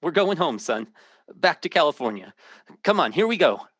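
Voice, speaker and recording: An actor playing a frightened, protective husband and father in an old-time radio drama, urgent and halting. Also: a little high, big pitch swings, background noise.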